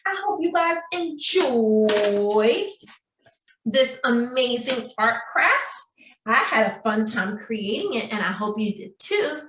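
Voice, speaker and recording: Casting: female preschool teacher, slow and bright-voiced, encouraging sing-song delivery with frequent check-in questions, recorded in an echoey room. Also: a little high, lively intonation, a little hiss or hum. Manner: addresses young children, frequent checking questions. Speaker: preschool story time teacher. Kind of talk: children's craft and writing lesson. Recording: roomy echo, distant microphone.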